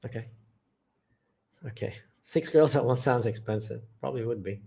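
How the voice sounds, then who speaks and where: A man's voice: medium pitch, moderate pace, vocal fry, mellow. A man from England hosting a live chat, reading viewer comments aloud and answering them with blunt, matter-of-fact opinions. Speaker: channel host.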